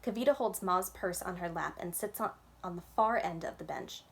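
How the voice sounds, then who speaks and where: A woman's voice: fairly low pitch, moderate pace, gravelly. Woman reading a children's book aloud. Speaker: library storyteller. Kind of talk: children's book read-aloud, scripted narration.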